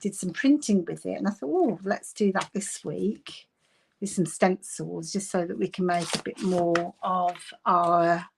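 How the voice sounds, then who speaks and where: A woman's voice: fairly low pitch, a little slow, gravelly, background noise. A woman with a Bristolian accent hosting a live craft session from home, chatty and giggly, greeting viewers by name. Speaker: craft livestream host. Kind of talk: casual live craft chat. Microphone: phone camera on desk.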